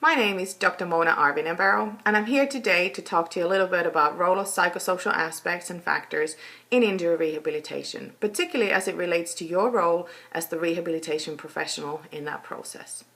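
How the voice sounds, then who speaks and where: A middle-aged woman from Finland, a university lecturer giving a measured, explanatory recorded lecture. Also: lowish voice, fairly bright tone.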